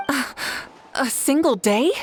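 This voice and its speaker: A woman voicing a composed, gracious leader in a game, speaking calmly and with measure. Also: sits high, slow pace, very noisy. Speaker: game character. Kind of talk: video game voice acting.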